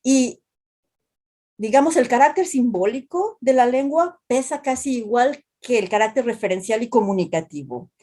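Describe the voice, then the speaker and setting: A woman's voice: a little high, lively intonation, normal speed, mellow. A woman from Mexico with a Mexican accent, a translation professor explaining ideas in an interview with many restarts and tag questions. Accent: Mexican Spanish. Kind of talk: academic interview talk.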